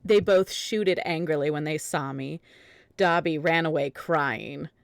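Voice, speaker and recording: A woman with a low voice narrates a fan fiction story. She reads the absurd text straight-faced, in a dry, close sound. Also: measured pace, creaky, no echo. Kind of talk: dramatic reading of fan fiction.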